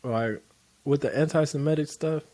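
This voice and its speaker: A man giving casual, blunt reaction commentary on a clip he keeps pausing. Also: fairly high pitch, warm tone. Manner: loose, blunt, profane street talk. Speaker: reaction video host.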